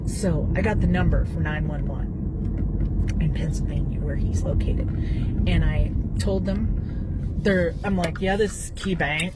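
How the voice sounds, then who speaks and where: A woman in her thirties vlogging from her car, telling a story in a rambling, chatty way with many fillers and restarts. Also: low voice. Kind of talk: casual car vlog storytelling. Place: parked or driving car. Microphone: phone on dashboard. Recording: loud car noise. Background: car and road noise.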